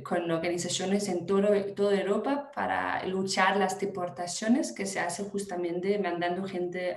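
A woman's voice: on the low side, darkish tone, roomy sound. Woman speaking careful Spanish. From Denmark. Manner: hesitant, many fillers and restarts. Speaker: guest activist. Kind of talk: conversational interview answer.

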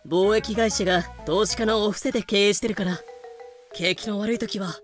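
A man's voice: sits high, fairly even intonation, normal speed, lightly acted. An actor playing a level-headed, exasperated pirate crewman with a dry, retorting manner in an audio drama. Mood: matter-of-fact. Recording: music bed behind voice.

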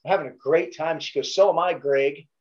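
A man's voice: fairly high pitch, brisk, a little mellow, highs cut off. A middle-aged man with a Baltimore accent, a podcast guest telling laid-back, profane stories in casual chat. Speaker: podcast guest, former Navy SEAL.

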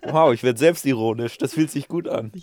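A man's voice: mid-range voice, brisk, crowd noise. A man co-hosting a casual podcast, rambling and self-mocking, with plenty of fillers. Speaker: podcast co-host.

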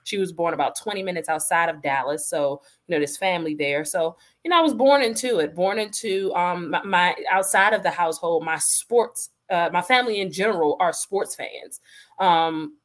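A woman in her thirties with a lowish voice, speaking as an interview guest. She talks in a loose, animated way, laughs easily and fills pauses with ums and you-knows. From Washington, D.C. area. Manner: animated, laughs often, many fillers and restarts. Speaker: guest, sports media personality. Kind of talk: casual interview conversation.

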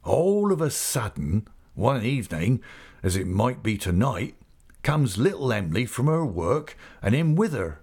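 A man's voice: mid-range voice, big pitch swings, unhurried, a little mellow, husky, exaggerated voice. A man voicing a hearty old male fisherman who talks in a broad rustic dialect. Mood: jubilant.

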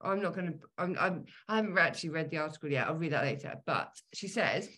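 A woman's voice: low voice, expressive, quick pace, bright, forceful tone. A woman in her thirties co-hosting a podcast, chatting casually and reading out listener mail with lots of ums and likes. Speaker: podcast co-host.

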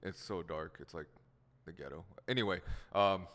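A man's voice: deep voice, normal speed, fairly bright tone, big-room sound. A young man from the Seattle area whose first language was Korean, hosting a casual podcast chat with a laid-back, rambling manner and frequent laughs. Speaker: podcast host.

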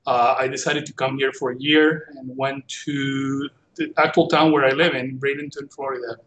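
A man in his forties from Venezuela, speaking English with a Venezuelan Spanish accent, telling his story as a guest in a relaxed, self-deprecating way. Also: mid-range voice, normal speed, low-quality recording. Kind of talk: casual conversation.

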